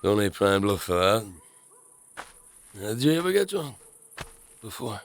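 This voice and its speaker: A man playing a gruff, troubled fantasy leader in an audio drama, blunt, informal and halting, with a gravelly voice. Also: fairly low pitch, big pitch swings, slight background noise. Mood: tipsy, weary. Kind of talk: naturalistic dark fantasy audio drama acting.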